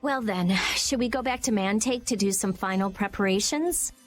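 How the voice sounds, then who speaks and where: A woman's voice: medium pitch, moderate pace, faint music behind, lightly acted. A woman playing a pert, practical young girl in a video game, offhand and businesslike. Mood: brisk. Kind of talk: video game cutscene voice acting.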